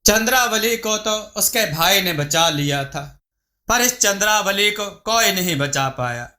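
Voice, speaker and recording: A man narrating a scripted moral story as a storyteller, in a measured, dramatic manner. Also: high voice, expressive, bright, forceful tone.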